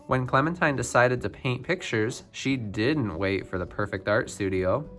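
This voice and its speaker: A man reading a children's picture book biography aloud, phrase by phrase with expressive emphasis. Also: mid-range voice, moderate pace, soft background music. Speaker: storybook reader.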